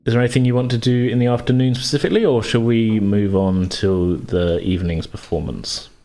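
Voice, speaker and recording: A man's voice: on the low side, fairly even intonation, brisk, dark tone. A man hosting a tabletop gaming podcast as game master, talking in an informal, conversational way. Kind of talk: casual podcast intro, recap and game narration.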